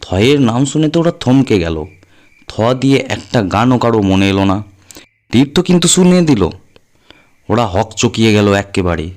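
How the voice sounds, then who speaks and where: A man's voice: on the low side, sing-song, moderate pace, darkish tone. A man reading a horror audio story as a narrator, in a dramatic scripted style. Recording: music under the voice. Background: background music.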